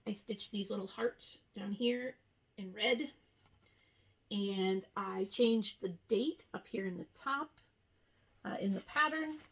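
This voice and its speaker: A woman hosting a casual craft show-and-tell, chatting in an unhurried way with rambling asides. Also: mid-range voice, slightly rough. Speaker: cross-stitch video host.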